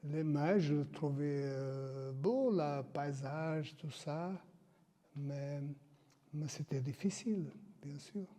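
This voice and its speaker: An older man speaks very slowly, halting between phrases. His voice is mellow and a little raised in pitch. The room gives it a long echo. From Portugal. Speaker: interviewee. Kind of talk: personal life story. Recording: reverberant room.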